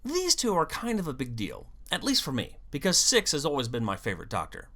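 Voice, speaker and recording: A video host delivering scripted fan commentary in an animated, storytelling way with wry asides. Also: medium pitch, brisk. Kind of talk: scripted fan commentary on an audio drama series.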